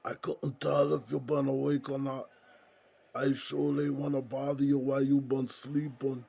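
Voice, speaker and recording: A man playing a good-humored, folksy prison inmate in an audio drama, with a gravelly, husky voice and colloquial drawl. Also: mid-range voice, level tone, moderate pace, warm tone. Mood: curious, teasing. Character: folksy, good-humored male jailhouse buddy named Smokey.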